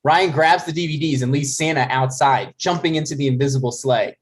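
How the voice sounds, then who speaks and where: A man's voice: fairly high pitch, brisk. A man narrating the stage directions of a comedy script at a table read.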